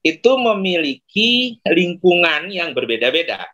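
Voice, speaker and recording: A man in his forties, an Indonesian facilitator, explaining digital marketing on a video call, with many pauses and fillers. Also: on the high side, big pitch swings, sharp tone.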